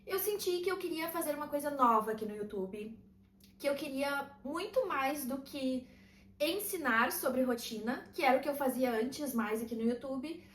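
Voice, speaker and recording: A woman, calmly talking to camera in a casual vlog and laughing lightly now and then. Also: high-pitched, normal speed, roomy sound, a little hiss or hum. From southern Brazil. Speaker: vlogger and routine mentor.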